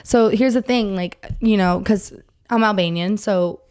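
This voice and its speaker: Animated young woman podcast co-host. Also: medium pitch, measured pace, smooth. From United States. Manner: animated, emphatic, lots of fillers. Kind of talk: casual chat.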